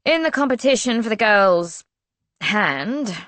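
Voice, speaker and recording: A woman's voice: fairly high pitch, animated, unhurried, fairly bright tone, no room sound, pitched above the performer's own voice. A woman voicing a female office administrator who is wry, gossipy and a little defensive.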